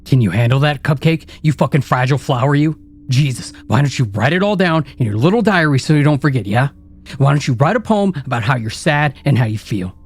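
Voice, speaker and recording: A man's voice: lowish voice, expressive, fairly quick, a little mellow, husky. A man voicing a cruel, sneering male inner critic that mocks and taunts relentlessly in a dramatized story reading. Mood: mocking. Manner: mocking, taunting, vicious. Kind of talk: dramatized horror story narration.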